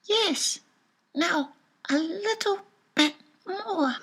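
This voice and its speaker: Brief: a gentle young boy, high and slow, soft and encouraging. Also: lively intonation, creaky, full character voice.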